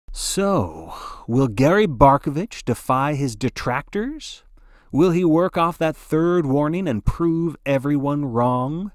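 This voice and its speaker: Animated man doing play-by-play commentary. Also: mid-range voice, no echo, studio quality. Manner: theatrical, folksy announcer delivery. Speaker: narrator playing a sports broadcaster. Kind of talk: dramatic play-by-play sports commentary.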